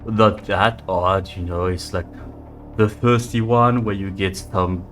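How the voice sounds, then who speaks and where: A scruffy young drunk who swears at everything and rambles, scoffing at whatever he hears, as a game master puts him on. His low voice stays loose and sloppy over faint music. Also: fairly even intonation, slow pace, fairly bright tone. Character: crude, scruffy young drunk man of the street.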